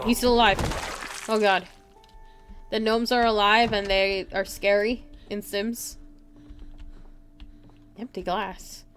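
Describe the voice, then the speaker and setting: A woman giving excitable live gameplay commentary, talking to herself and to her viewers. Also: medium pitch. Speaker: game streamer. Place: indoors, streaming at a computer.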